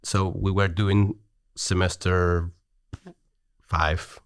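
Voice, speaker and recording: A man's voice: sits low, little pitch movement, very slow, clean tone, no echo. A middle-aged man from Chile with a Chilean Spanish accent, chatting as a podcast guest, hesitant with many restarts and easy laughter. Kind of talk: casual conversation.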